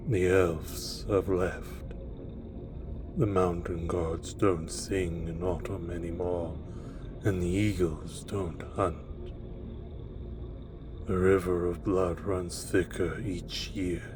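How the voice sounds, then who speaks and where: A man's voice: low-pitched, steady tone. A man playing a cryptic, mournful cloaked stranger in an audio drama, brooding and questioning over music. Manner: slow, brooding, rhetorical questioning.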